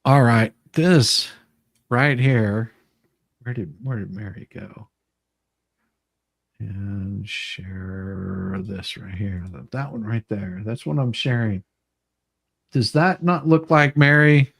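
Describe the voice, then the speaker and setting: A man's voice: on the low side, slow pace, creaky, pauses often, no echo. Rambling man hosting a livestream, dry sound. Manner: rambling, repeats phrases, trails off mid-sentence. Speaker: livestream host. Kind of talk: casual livestream chat and commentary.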